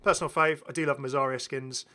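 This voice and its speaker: A man's voice: medium pitch, fairly even intonation, fast, sharp tone. A middle-aged man giving enthusiastic, casual, chatty commentary as a gaming video host, often repeating his phrases. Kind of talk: casual game skin showcase commentary.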